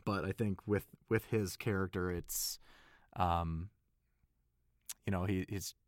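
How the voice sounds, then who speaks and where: A man with a fairly low voice chats casually as an interview guest. He rambles and halts as he thinks, often self-deprecating. The sound is close and dry. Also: steady tone, slow pace, no echo. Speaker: voice actor, panel guest. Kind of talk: casual behind-the-scenes interview.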